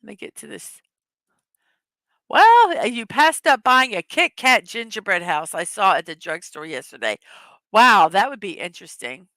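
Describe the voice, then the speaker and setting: A middle-aged woman chats through a casual morning livestream, greeting viewers and reading their messages out loud. Her voice has a bright, clear edge that has worn a little hoarse, and the sound is dry and close. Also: mid-range voice, sing-song, normal speed, no room sound. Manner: chatty, reads chat messages aloud, laughs often. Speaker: livestream host.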